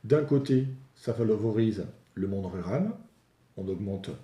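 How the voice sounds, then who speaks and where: A man giving a semi-improvised technical explanation in a didactic way, pausing often and restating his points in plainer terms. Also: on the low side, animated, warm tone, reverberant. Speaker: local public finance analyst explaining a reform. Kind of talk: spoken explanatory analysis, semi-improvised presentation.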